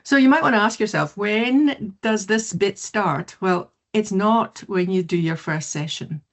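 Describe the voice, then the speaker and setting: A middle-aged woman from South Africa, whose first language is not English, giving an online mindfulness presentation in a lively, quick way. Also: medium pitch. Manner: lively, quick, laughs easily. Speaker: mindfulness teacher.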